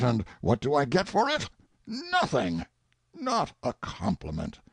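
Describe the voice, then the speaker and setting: A man's voice: medium pitch, gravelly, slightly rough, no room sound, heavily acted. A man playing an indignant, self-pitying, sanctimonious king in a theatrical audiobook monologue, full of exclamation and emphasis.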